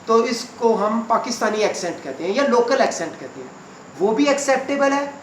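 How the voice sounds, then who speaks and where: A man teaching spoken English to beginners, going word by word and repeating each meaning slowly. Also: very high-pitched, roomy sound, a little hiss or hum, poor audio. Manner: slow, repetitive word-by-word explanation.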